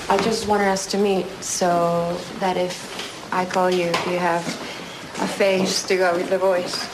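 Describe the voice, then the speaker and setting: Female, thirtyish private investigator for audio drama: smooth, measured, lowish voice over clear background noise. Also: little pitch movement. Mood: smooth, cool. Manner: measured, polite. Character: poised woman insurance investigator around thirty, smooth, businesslike.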